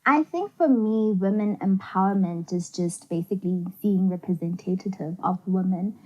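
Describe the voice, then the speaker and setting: A young woman co-hosts a laid-back talk show. She answers in a reflective, thinking-aloud way, pausing often to find her words and leaning on "you know" as she goes. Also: mid-range voice, warm tone, dull audio. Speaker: co-host. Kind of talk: casual podcast chat.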